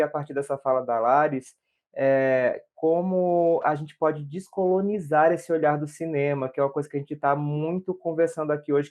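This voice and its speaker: A man with an Alagoas accent hosting a podcast, asking questions in a thoughtful, measured way. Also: fairly high pitch, darkish tone. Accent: Alagoas, Brazil. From Brazil. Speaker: podcast host. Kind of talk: podcast conversation.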